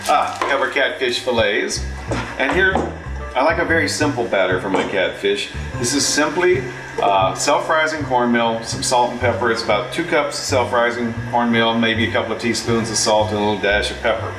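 A man in his fifties talks through a recipe in a chatty, joking way, filling gaps with casual fillers. He sounds set back from the microphone, and his voice rings off the hard walls of a commercial kitchen. Music plays clearly behind him. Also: medium pitch, moderate pace, far from the mic. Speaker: cooking show host. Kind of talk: casual cooking demonstration. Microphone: far camera. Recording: roomy kitchen from off-mic.

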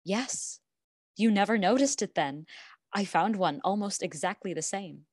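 A woman's voice: mid-range voice, lively intonation, measured pace, no room sound, studio quality. An actress in a radio play playing a weary, hardened woman who speaks plainly and earnestly. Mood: resolute, confessing. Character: weary, hardened woman worn down by years of debt.

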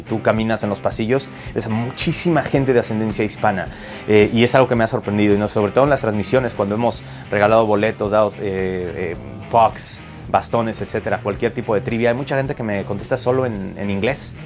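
Enthusiastic Mexican man, low voice. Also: brisk, faint music behind. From Mexico City. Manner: enthusiastic, chatty. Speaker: hockey play-by-play broadcaster. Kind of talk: interview answers. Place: locker room, indoors.

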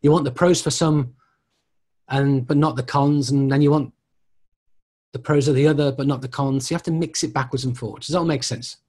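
A middle-aged man teaching informally as a tutor, answering questions and explaining in an animated, conversational way. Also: mid-range voice, fairly quick, husky. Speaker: mediumship tutor.

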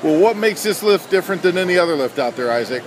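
A man's voice: on the high side, expressive, fast talker. A man who publishes a magazine, interviewing an exhibitor at an indoor boat show with upbeat enthusiasm. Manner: enthusiastic, hearty praise. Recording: loud crowd and hall noise.

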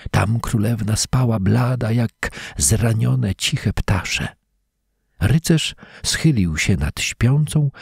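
Slow, gravelly male fairy-tale storyteller. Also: fairly low pitch, darkish tone, no room sound, clean studio sound. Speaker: storyteller reading aloud. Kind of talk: expressive scripted fairy-tale narration in verse.

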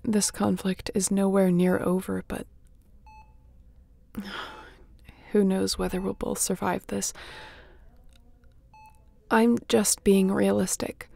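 A woman playing a battle-worn, tender and wry spaceship captain in an intimate audio roleplay, speaking softly with sighs and a breathy edge. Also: medium pitch, heavily acted. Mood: sober, weary. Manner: soft, sighing, emotionally open.

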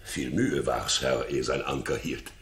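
An actor playing a loyal, honest royal counsellor in a 1960s German radio play, speaking with formal, earnest dignity. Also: male voice, rumbling low, unhurried, a bit bright, roomy sound. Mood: respectful.

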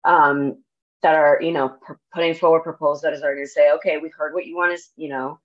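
Female discussion guest, young adult, American accent, low pitch, conversational, muffled video-call sound. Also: fairly even intonation, a little fast. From grew up in New Jersey, USA. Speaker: guest, activist organizer. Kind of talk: casual political discussion. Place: home room with bookshelves. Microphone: earbuds on a video call. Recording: dull video-call audio.

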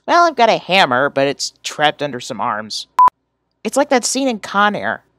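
A woman's voice: low-pitched, wildly swooping pitch, normal speed, fairly bright tone, slight background noise, exaggerated voice. A woman voicing a goofy, earnest teenage boy from a webcomic, playful and exclamatory, in an amateur character reading.

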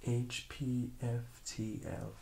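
A man, around his thirties, giving quiet, brief, matter-of-fact replies as the patient in a soft-spoken ASMR role-play. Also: mid-range voice, level tone, slow pace, vocal fry, slight background noise, low-quality recording. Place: small indoor studio room.

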